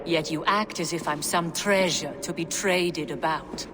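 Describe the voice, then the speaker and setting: A woman's voice: deep voice, measured pace, background noise. An actress playing a proud, distrustful goddess queen in a fantasy game, with a haughty and cutting manner. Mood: anxious, accusing. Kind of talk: video game cutscene acting. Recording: reverberant hall with music behind.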